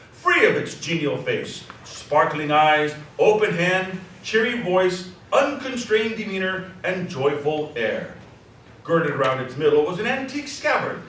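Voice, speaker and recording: A man narrating a scripted story dramatically, savoring the descriptive words with expressive delivery. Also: fairly high pitch, heavily acted. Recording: echoey hall, distant mic, faint noise.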